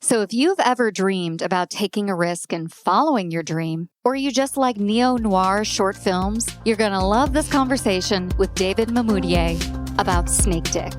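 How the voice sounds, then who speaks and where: A woman's voice: mid-range voice, animated, moderate pace, faint music behind. A woman hosting a podcast interview, upbeat and enthusiastic as she asks her questions. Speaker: podcast host.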